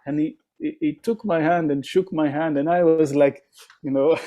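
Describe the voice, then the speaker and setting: A man's voice: fairly high pitch, moderate pace, warm tone. A man from Israel, a drummer, telling stories in a casual interview, with many fillers and restarts. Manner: rambling, many fillers and restarts, acts out quoted voices.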